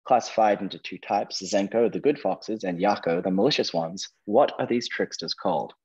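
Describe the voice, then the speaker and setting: A man with a fairly low voice works as a quizmaster, presenting questions and adjudicating answers. The sound is somewhat dull, as on an online call. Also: moderate pace, darkish tone, breathy edge. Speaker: quizmaster, host. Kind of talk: reading quiz questions and ruling on answers.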